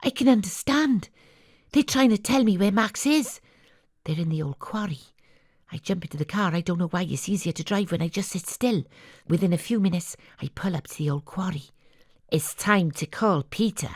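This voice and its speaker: An actress playing a quirky, hard-boiled private detective, narrating her case in a theatrical, deadpan noir style with a breathy voice. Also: fairly low pitch, normal speed, dry recording, clean studio sound. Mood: confiding, wondering. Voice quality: husky, breathy. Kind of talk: first-person audio drama narration. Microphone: close studio mic, home studio.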